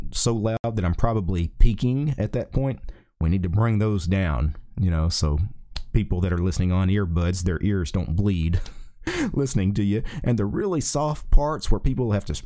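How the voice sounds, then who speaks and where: A middle-aged man with a deep voice, explaining audio editing steps in a relaxed, casual tutorial from a small home office on a desk microphone. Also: no room sound, clean studio sound. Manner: relaxed, thinking aloud with small restarts. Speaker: tech tutorial host. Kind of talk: casual screen-recorded software tutorial. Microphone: desk USB microphone.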